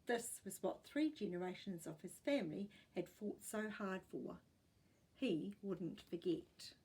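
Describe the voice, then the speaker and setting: A woman with a New Zealand accent reading a children's story aloud in an expressive storytelling style. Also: medium pitch, a little slow, slightly rough, rough recording.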